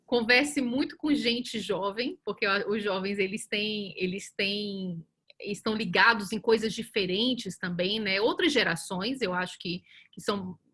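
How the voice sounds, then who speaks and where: A woman in her forties, a communications professional from Brazil, speaking as a panelist on a webinar in a chatty, animated way with many fillers and restarts. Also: mid-range voice, unhurried, husky. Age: 40s. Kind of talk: casual webinar talk.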